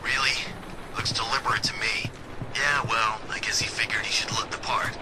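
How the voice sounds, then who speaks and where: An actor playing a grumbling, uneasy guard who gossips in a sardonic mutter in an audio drama. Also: male voice, medium pitch, steady tone, normal speed, close to an everyday voice. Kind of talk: conversational audio drama acting. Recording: clear background noise.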